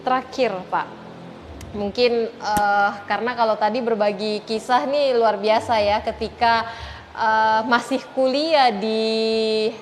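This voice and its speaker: Woman interviewer, highish voice, conversational podcast delivery, hesitant phrasing, some noise on the recording. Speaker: podcast host interviewing a guest.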